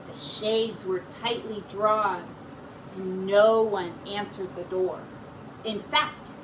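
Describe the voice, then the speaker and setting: Woman teacher, expressive storybook reader for kids, unhurried delivery, recorded from a distance. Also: a little high. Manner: expressive, encouraging, addressing young children. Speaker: teacher reading aloud to children. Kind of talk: animated picture book read-aloud. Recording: distant mic with clear background noise.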